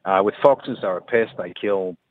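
Tinny phone line, man from New Zealand, lowish voice, halting, solemn, insistent caller. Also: dry recording. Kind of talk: casual anecdote telling. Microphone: telephone.

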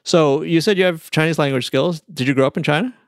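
A male host with a gravelly edge asks questions with lively energy, often restarting his sentences. The sound is close and dry. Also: on the high side, fast, no echo. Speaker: podcast host. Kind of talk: interviewing.

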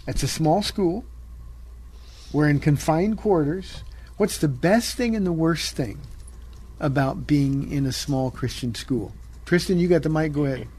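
A man's voice: on the high side, measured pace, darkish tone, slightly rough, rough recording. A man hosting a radio talk show, interviewing students in a friendly, teasing way.

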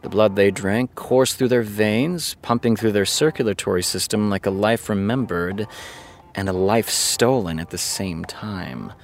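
Man playing exasperated TV creator, low pitch, sardonic, reading aloud, fiction podcast drama, light music underneath. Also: measured pace. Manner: sardonic, muttering asides between read passages.

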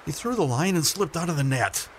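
A man's voice: on the high side, animated, a little fast, close to an everyday voice. A man playing a patient, encouraging grown-up teaching a child to fish in a radio play. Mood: disappointed. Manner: patient, coaching. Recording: clear mechanical and outdoor noise.

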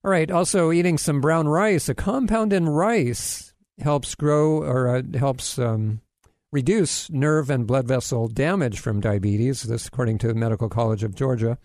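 Man from Hawaii, radio health host, mid-pitched, loose and rambling with fillers, dry close mic. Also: normal speed, darkish tone, no echo. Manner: rambling, many fillers and restarts, corny jokes. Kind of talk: casual radio health talk.

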